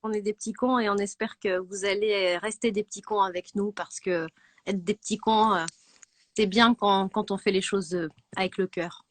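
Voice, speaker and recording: A woman's voice: medium pitch, fairly even intonation, moderate pace, no room sound. A woman in her thirties, a guest on a live stream, joining by video call and rambling jokingly in a mock-formal way. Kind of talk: casual chat.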